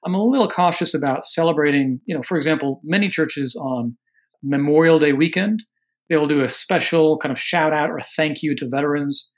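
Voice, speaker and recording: Earnest American man, slightly high voice. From Oregon, USA. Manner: measured, earnest, carefully qualifying. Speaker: guest author on religion and politics. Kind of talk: podcast interview answers.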